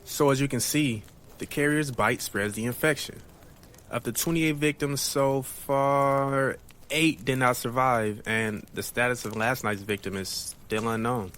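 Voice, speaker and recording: A man's voice: medium pitch, fairly even intonation, measured pace, fairly bright tone, clean tone, natural voice. Audio drama man male actor: mid-range voice, tense scripted scenes. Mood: clinical, reporting.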